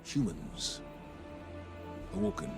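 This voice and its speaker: An actor playing a weary, grave military commander in a video game, delivering a measured, solemn monologue. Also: male voice, over music, close to an everyday voice. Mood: grave resolve. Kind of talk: dramatic game cutscene monologue.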